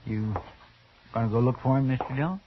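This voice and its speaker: A man's voice: mid-range voice, fairly quick, lightly acted. Man acting a folksy, drawling deputy sidekick. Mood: helpful. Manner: folksy, deferential, plain-spoken. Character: folksy, loyal, eager deputy sidekick in a Western. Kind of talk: 1950s radio drama acting. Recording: dull, noisy old radio recording.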